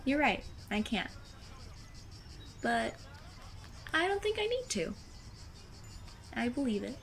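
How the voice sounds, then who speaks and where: A woman's voice: fairly high pitch, sing-song, takes it slowly, heavily acted. A woman playing an earnest, blunt fifteen-year-old girl sleuth in an audio drama, speaking in formal, precise phrases. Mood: quietly moved.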